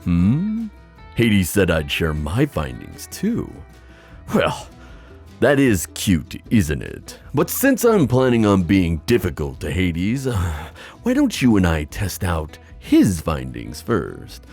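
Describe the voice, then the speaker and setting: Man acting a deep, swooping, scheming sea god. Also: wildly swooping pitch, darkish tone, husky, faint music behind, exaggerated voice. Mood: sly, conspiratorial. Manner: theatrical, teasing, drawn-out musing with chuckles. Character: playful, scheming Greek sea god, adult male. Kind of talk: ASMR fantasy roleplay acting.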